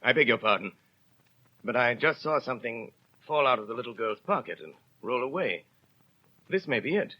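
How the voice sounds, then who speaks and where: A mid-pitched actor playing a mysterious gentleman stranger in a 1930s radio play. He is courteous and measured with wide swings of intonation, on a muffled old recording with some music. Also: expressive, close to an everyday voice. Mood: calm, polite.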